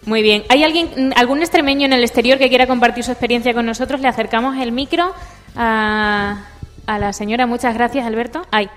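Woman presenter, higher voice, brisk and encouraging, reverberant hall, faint music. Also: fairly even intonation, fairly bright tone. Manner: brisk and encouraging, directs the room. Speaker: presenter hosting the session. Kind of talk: hosting a public event.

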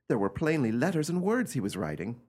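A man playing an eccentric gentleman who recounts an uncanny family tale in a theatrical, emphatic radio drama style. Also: medium pitch, very animated, a little fast. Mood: intrigued, ominous.

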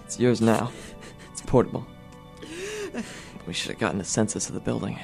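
Wounded teenage boy, acted by a man, extremely gravelly, earnest, stoic, radio drama, soft music behind. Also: lowish voice, normal speed. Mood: resigned, forgiving. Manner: earnest, matter-of-fact, stoic. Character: mortally wounded nerdy teenage boy, calm and forgiving.

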